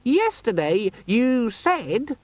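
A man voicing a small, squeaky demon organizer from a storybook, pedantic, sarcastic and quick to sulk. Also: very animated, darkish tone, no room sound, exaggerated voice. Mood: indignant. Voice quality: squeaky, piping. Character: small squeaky pocket demon organizer, cheerful then sulky and indignant. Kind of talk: audiobook character voice.

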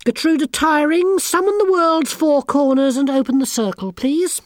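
A woman's voice: high-pitched, normal speed, no echo, clean studio sound, pitched above the performer's own voice, close to an everyday voice. A woman voicing a haughty, bossy teenage girl witch who talks down to everyone in a sneering, imperious way.

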